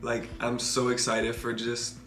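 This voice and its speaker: A young man with a mid-pitched voice talks casually, padding his informal phrases with "like". The space is audibly roomy, with faint music underneath. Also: monotone, unhurried, fairly bright tone. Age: young adult. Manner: informal, peppered with "like". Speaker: parenting vlogger. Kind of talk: casual chat with partner.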